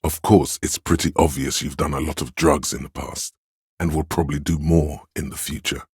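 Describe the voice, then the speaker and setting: A man voicing a domineering inner voice in a video game, commanding, measured, grave and pompous in delivery. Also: flat delivery, husky, dry recording, studio quality, full character voice. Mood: assured, approving. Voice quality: very deep, resonant. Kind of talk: scripted game narration, inner-voice commentary.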